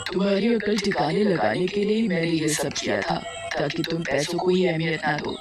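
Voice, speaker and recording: A woman voicing a lovelorn witch ghost in a cartoon story, soft, earnest and sorrowful. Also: lowish voice, normal speed, far from the mic, close to an everyday voice. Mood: gentle, resigned. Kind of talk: melodramatic cartoon story acting. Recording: loud music behind the voice.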